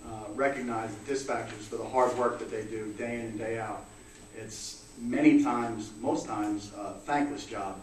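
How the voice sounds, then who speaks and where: A man giving a short congratulatory speech in public as a police detective, measured and somewhat halting. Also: fairly low pitch. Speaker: police detective, union representative. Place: indoor public meeting room. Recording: echoey room, distant mic, background noise.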